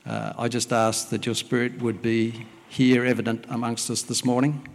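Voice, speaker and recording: A middle-aged man from Wales, a native Welsh speaker, preaching a sermon and praying in a church service, speaking plainly with frequent "uh" pauses. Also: medium pitch, level tone, gravelly. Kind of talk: sermon with prayer. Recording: long hall reverb.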